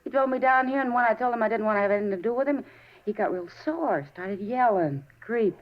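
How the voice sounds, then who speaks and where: A woman's voice: a little high, animated, quick pace, lightly acted. An American actress playing a flippant, slangy young woman in a 1950s radio drama, bored and scornful. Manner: offhand, sassy, slangy. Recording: dull 1950s radio recording.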